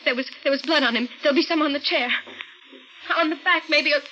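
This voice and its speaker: A woman's voice: high-pitched, fast, sharp tone. An actress playing a frightened, shaky young woman in a 1950s radio mystery, stammering and repeating herself. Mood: distraught, insistent. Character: frightened, shaky young woman insisting she saw a corpse. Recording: noisy, muffled old radio recording.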